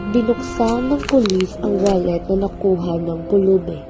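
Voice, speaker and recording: A woman narrates a radio drama from a script. Her voice is of middling pitch, with music playing clearly behind it. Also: normal speed.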